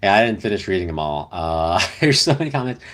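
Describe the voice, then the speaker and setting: Easygoing young man, lowish voice. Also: big pitch swings, fast, a bit bright. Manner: rambling, easygoing, many fillers. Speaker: livestream host. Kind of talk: casual livestream chat reading comments. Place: car camping, indoors with partner.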